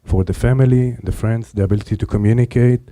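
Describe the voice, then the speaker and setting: A man answering panel questions about his startup in an earnest, halting way with many fillers. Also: medium pitch, fairly even intonation, dark tone, no echo.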